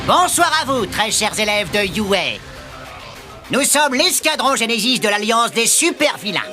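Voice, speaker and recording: A man's voice: very high-pitched, very animated, normal speed, bright, forceful tone, soft background music, full character voice. A man playing a fanatical, self-important lizard-man villain in a French-dubbed cartoon, mocking and theatrical. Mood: mocking, commanding. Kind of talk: French-dubbed anime acting.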